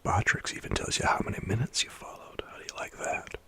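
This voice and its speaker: A man chatting with his livestream viewers in a soft whisper, rambling and chuckling as he goes. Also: fast talker.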